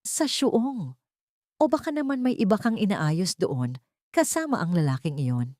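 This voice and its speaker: A woman's voice: medium pitch, big pitch swings, unhurried, mellow, no room sound, clean studio sound. A woman voicing a cold, suspicious elderly matriarch, a wealthy mother-in-law in an audio drama story, speaking in an icy, accusing manner.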